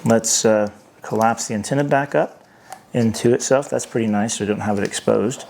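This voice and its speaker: A man giving an unscripted hands-on product review, thinking aloud in a halting way. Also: on the low side, creaky, darkish tone, a little hiss or hum.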